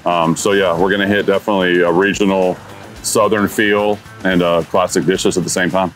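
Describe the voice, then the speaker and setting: A man's voice: low-pitched, level tone, a little fast. A man, a restaurant owner chatting casually and enthusiastically about his dishes in a dining room on a lapel mic. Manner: easygoing, enthusiastic, fillers like "you know". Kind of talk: casual chat about food. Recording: music playing underneath.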